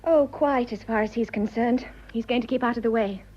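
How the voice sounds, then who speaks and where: Actress as a high, husky, romantic young English wife. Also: female voice, fairly quick, a little mellow, natural voice. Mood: relieved. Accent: British. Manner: earnest, hesitant, gushing. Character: romantic, idealistic, nervous young English wife of twenty-five. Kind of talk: stage comedy radio drama acting. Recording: slightly dull, lo-fi audio.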